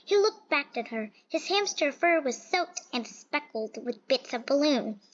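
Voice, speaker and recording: A woman with a high-pitched voice reads a children's storybook aloud. Her delivery is expressive, with small stumbles and repeated words here and there. The recording is dull and lo-fi. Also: measured pace. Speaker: storybook reader. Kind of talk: children's picture book read-aloud. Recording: dull, lo-fi sound.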